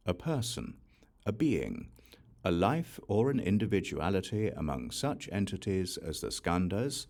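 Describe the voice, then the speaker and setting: Male audiobook narrator for sacred text: deep register, highly varied intonation, deliberate enunciation. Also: a little mellow. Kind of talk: scripted reading of Buddhist scripture.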